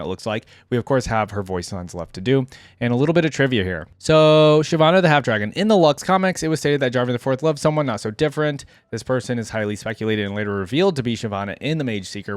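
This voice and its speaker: A man's voice: medium pitch, no room sound. A man casually reading aloud and reacting as a video host, easygoing and chatting to his viewers.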